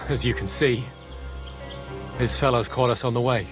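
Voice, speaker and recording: An actor playing a cornered, cheeky medieval thief in a video game, with rough, colloquial, hedging speech. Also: male voice, mid-range voice, steady tone, unhurried, over music, natural voice. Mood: bargaining. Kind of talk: video game drama acting.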